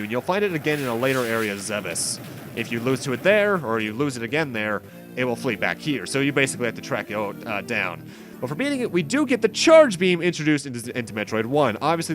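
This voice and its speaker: A man's voice: mid-range voice, a bit bright. A man hosting a video game playthrough, giving commentary with rambling, self-interrupting asides. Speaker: host of a game playthrough series. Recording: game music behind the voice. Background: video game music.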